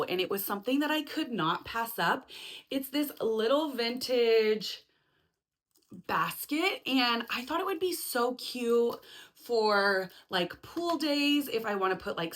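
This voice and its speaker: A woman hosting a casual home vlog, chatty and upbeat with lots of "like" fillers, her voice slightly hoarse from being sick. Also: fairly high pitch, fairly bright tone. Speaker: vlog host.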